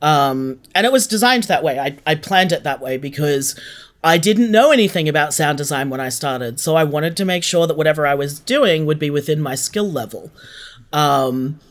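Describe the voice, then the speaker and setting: A podcast creator with a medium-pitched voice talks casually as an interview guest. The delivery is chatty and conversational, with thoughts worked out aloud and corrected along the way. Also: lively intonation, normal speed.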